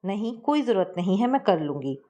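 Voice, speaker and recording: A woman's voice: medium pitch, very animated, a little fast, dark tone, natural voice. Woman voicing chirpy young daughter-in-law. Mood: cheerful. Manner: chirpy, plain-spoken. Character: young new daughter-in-law, cheerful and frank.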